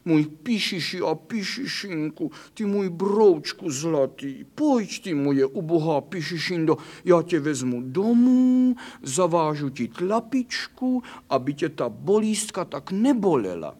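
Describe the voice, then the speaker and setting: A man's voice: on the high side, big pitch swings. A man voicing a caring female cat who coos tender pet names. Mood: tender, cooing.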